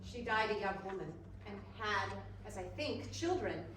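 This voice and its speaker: A woman playing a calm, solemn guiding spirit with measured, stately, commanding delivery in a readers theater reading. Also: medium pitch, lightly acted. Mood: gentle reminding. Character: calm, solemn guiding ghost of Christmases past. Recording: distant echoey room, faint noise.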